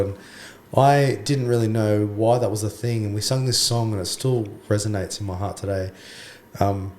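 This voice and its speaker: Male worship pastor, hoarse and croaky, casual podcast guest, lowish voice, echoey recording. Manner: relaxed, chatty, says mate and bro. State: hoarse, lost his voice. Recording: echoey room, faint background noise.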